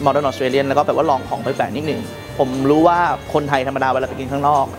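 Relaxed male chef over background music. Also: medium pitch. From Thailand. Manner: relaxed, mixes English terms, measured. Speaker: executive chef. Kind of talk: to-camera introduction. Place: restaurant kitchen indoors. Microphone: lapel. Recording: music bed under voice.

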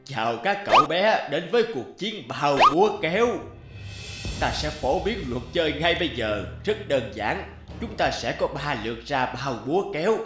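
A man's voice: a little high, big pitch swings, moderate pace. An actor voicing a mysterious, commanding old man who runs a game, announcing in a formal, theatrical and menacing way for a cartoon. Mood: grand announcing. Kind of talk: animated cartoon dubbing. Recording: echoey voice over loud music.

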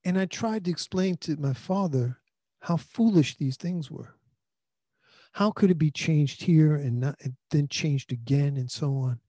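New pastor preaching into handheld mic. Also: male voice, on the high side, unhurried, dark tone, slightly rough, no room sound, studio quality. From New York. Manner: earnest conversational preaching with frequent restarts. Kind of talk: sermon. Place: small indoor room. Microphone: handheld microphone.

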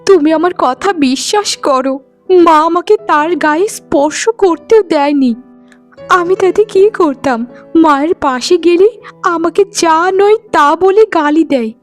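A woman playing a gentle, dutiful young wife and daughter-in-law, earnest and pleading, in a cartoon melodrama. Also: very high-pitched, moderate pace, soft background music. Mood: hurt, pleading.

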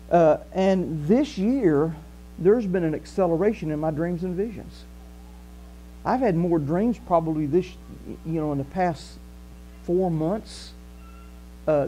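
An older man with a Southern US accent, preaching a conversational sermon as pastor in a small church hall. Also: a little high. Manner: conversational preaching, animated gestures, walks the stage. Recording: faint mains hum.

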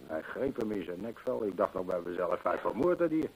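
An actor playing an earnest, discreet employee in a radio play, respectful and hesitant, repeating himself. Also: male voice, low-pitched, sing-song, a little fast, darkish tone, slightly rough, full character voice, poor audio. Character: deferential horse-stable employee, earnest, discreet witness. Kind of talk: Dutch radio play acting.

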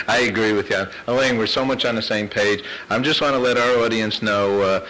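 Brief: male talk-radio host, low voice with a forceful edge, chatty and quick to laugh. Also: rough recording. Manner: chatty, laughs often, reads out a list. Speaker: radio show host and producer. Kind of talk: casual phone interview.

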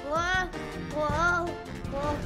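Eager, very high-pitched little boy motorcycle. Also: steady tone, very slow, exaggerated voice. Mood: excited. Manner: exclamatory, childlike. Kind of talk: animated children's cartoon dub. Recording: loud music behind.

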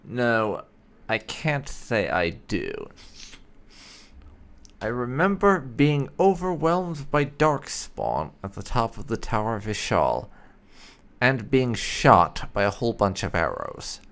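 A man's voice: mid-range voice, very animated, takes it slowly, vocal fry, fairly bright tone. A man giving casual gameplay commentary and reading dialogue choices aloud, in a musing, understated, polite manner. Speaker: game streamer doing roleplay playthrough.

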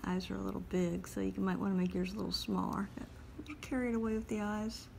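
A woman calmly talking through a step-by-step drawing lesson as she sketches at a table. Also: mid-range voice, gravelly, slight background noise. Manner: calm, unhurried, talks while drawing. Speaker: drawing instructor. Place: at a table indoors.

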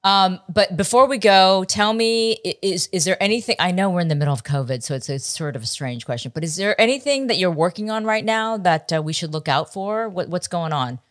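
A woman's voice: lowish voice, expressive, brisk, few pauses. A middle-aged woman hosting a podcast from home on a studio mic, earnest and effusive in casual interview chat. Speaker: podcast host. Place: home room, indoors. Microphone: studio mic on arm with headphones.

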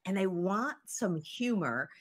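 A woman's voice: mid-range voice, lively intonation, takes it slowly. A woman hosting a talk show interview, chatty and stopping to restart her sentences.

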